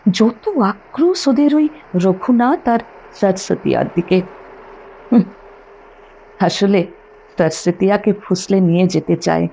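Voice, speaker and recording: A woman's voice: medium pitch, slow pace, gravelly, long pauses, warm tone, a little hiss or hum, rough recording. A woman voicing a troubled, loyal village man, aggrieved and deferential, confiding in dialogue from an audio story. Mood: troubled, indignant.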